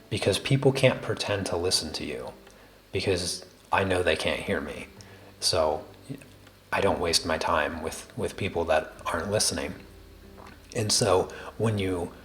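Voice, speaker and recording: A man hosting a drawing livestream, chatting casually with viewers in a very soft, quiet voice and a slow, deliberate cadence full of small restarts. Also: sits low, slight background noise. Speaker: art livestream host.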